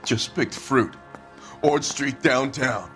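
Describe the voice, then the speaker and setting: An actor playing a defensive, hot-tempered husband under police questioning in a crime video game, answering bluntly. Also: male voice, medium pitch, slow, fairly bright tone, soft background music. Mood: sullen. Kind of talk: acted dramatic video game dialogue.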